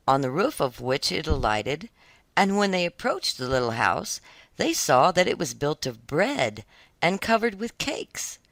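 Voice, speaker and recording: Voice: mid-range voice, a bit bright, no echo. A narrator reading a scripted fairy tale aloud for children, in audiobook style.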